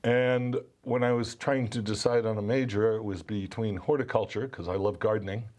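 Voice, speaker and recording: A man's voice: fairly low pitch, steady tone, normal speed, a little mellow. A man from New England, a college English professor guest on a local TV show, talking earnestly and enthusiastically. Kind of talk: TV interview guest. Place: TV studio.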